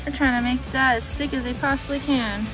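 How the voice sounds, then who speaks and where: A woman's voice: high voice, fairly even intonation, brisk, over music, poor audio. A middle-aged woman chatting casually and thinking aloud as she works outdoors in a bee yard, informal and exclamatory. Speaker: beekeeping vlogger. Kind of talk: casual chat while working.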